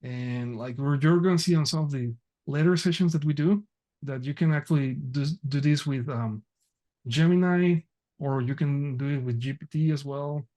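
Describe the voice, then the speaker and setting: A man presenting an online technical workshop over a video call, talking haltingly with many fillers and restarts. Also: on the high side, dark tone.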